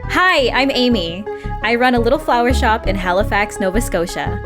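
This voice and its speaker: A woman's voice: on the high side, animated, normal speed, music in the background, natural voice. A woman playing an earnest, hesitant game show contestant in an audio drama. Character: earnest young female flower shop owner, contestant.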